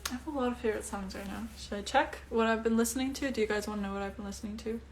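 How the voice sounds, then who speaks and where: A woman's voice: mid-range voice, very fast, slight background noise. A young woman, a singer chatting casually at home during a phone livestream, answering comments in a breezy way with lots of fillers. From Iceland. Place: at home, indoors.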